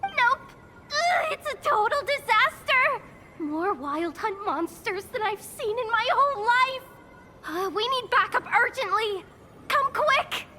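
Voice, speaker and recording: Shrill, impatient little youngster. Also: female voice, animated, slow pace, sharp tone, slight background noise, heavily acted. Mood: impatient alarm. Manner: shrill, exclamatory. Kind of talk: scripted game dialogue.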